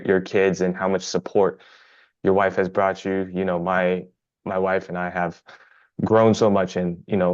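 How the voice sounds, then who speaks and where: Low-voiced earnest male interviewer. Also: no echo, lo-fi sound. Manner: earnest, affirming, repeats words. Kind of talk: supportive interview conversation.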